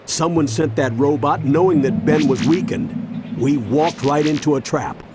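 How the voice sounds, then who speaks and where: A man's voice: fairly high pitch, a little slow, heavy background noise, natural voice. A man playing a wise, wary older mentor in a video game, serious and explanatory. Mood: worried. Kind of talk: video game cutscene voice acting. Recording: music under the voice.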